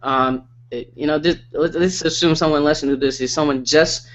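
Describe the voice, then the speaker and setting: Brief: male interview host, slightly high voice, pitchy sales hype, lo-fi call audio. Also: fairly even intonation, fairly quick, a bit bright. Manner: hyped, salesy, many repetitions and fillers. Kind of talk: online interview.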